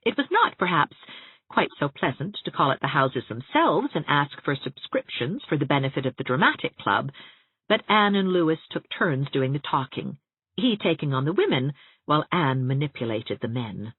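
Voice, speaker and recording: Expressive low-voiced woman narrator, phone-like sound. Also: normal speed, breathy edge, no echo. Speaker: audiobook narrator. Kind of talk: expressive scripted story narration. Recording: narrow, phone-like audiobook sound.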